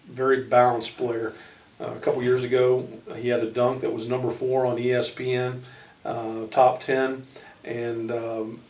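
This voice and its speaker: A man who coaches college basketball, giving informal player profiles in a halting way, pausing mid-sentence. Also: medium pitch, a little mellow. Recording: roomy echo, distant microphone.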